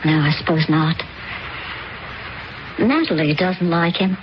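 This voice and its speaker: A woman's voice: lowish voice, takes it slowly. An actress playing a hesitant, troubled stepmother in an old radio drama. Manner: hesitant, searching. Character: uneasy stepmother, troubled and intuitive. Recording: dull old radio recording, faint hiss.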